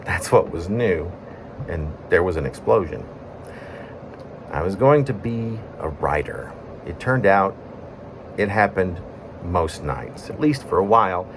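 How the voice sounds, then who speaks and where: A man reading his own poem in a conversational storytelling way, breaking it into short phrases with pauses. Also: sits low, animated, noisy background. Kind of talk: spoken-word poetry reading, conversational storytelling.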